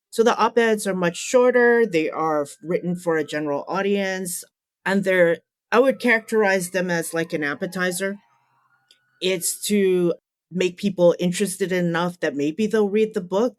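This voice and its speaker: A woman's voice: on the low side, no echo, studio quality. A middle-aged woman answering as an interview guest and academic author, candid, colloquial and emphatic.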